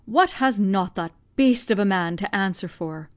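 A woman's voice: medium pitch, expressive, unhurried, dry recording, close to an everyday voice. A woman reading aloud, voicing an old, tender-hearted Irish servant woman with an Irish accent. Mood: dismayed. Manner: lamenting, emotional.

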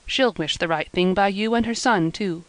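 Old weaver guy read by a woman, slow and kindly, country dialect, dry sound. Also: medium pitch, dry recording, close to an everyday voice. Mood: gentle. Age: older. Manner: slow and measured, rural dialect speech.

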